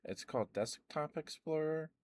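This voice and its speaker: A man rambling in a soft, meandering ASMR chat, with stammered restarts and fillers, close to the microphone. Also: fairly high pitch, animated, normal speed, clean tone. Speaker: ASMR streamer.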